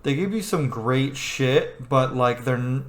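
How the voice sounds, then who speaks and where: A man's voice: medium pitch, unhurried. A man giving casual, sweary gameplay commentary, often muttering to himself as he plays. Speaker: gamer hosting a playthrough. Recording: echoey room, faint noise.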